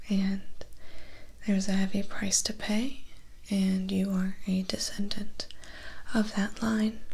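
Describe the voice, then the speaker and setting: A woman in her twenties plays a vampire's female assistant in an ASMR roleplay, a calm and slightly awkward caretaker. She speaks very slowly in a soft half-whisper with a lot of vocal fry. Her delivery is gentle, halting and conversational, close to the microphone. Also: mid-range voice, exaggerated voice. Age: 20s. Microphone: close microphone, just off camera.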